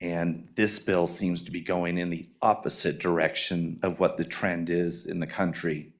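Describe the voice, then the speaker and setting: A retired teacher, an older man, giving deliberate public testimony with many pauses and ums. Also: sits low, flat delivery. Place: legislative committee hearing room.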